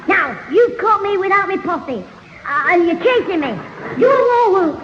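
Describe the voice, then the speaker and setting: An actor playing a cheeky, bossy Northern English schoolboy in a 1970s radio sitcom, quick with wisecracks. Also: very high-pitched, lively intonation. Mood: bossy, playful. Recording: dull, echoey, noisy old radio broadcast.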